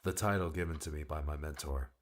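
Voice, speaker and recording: An actor playing a curt, aloof guardian, a weary loner who speaks tersely and coldly in an audiobook drama. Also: male voice, extremely low pitch, fast. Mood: guarded, matter-of-fact. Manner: terse, dismissive, cold.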